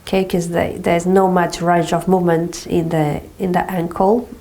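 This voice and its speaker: A woman with a blocked nose from a cold, calmly guiding a movement exercise step by step. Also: on the low side. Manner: slow, calm step-by-step guidance with frequent "okay". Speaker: movement teacher. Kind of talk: guided exercise instruction.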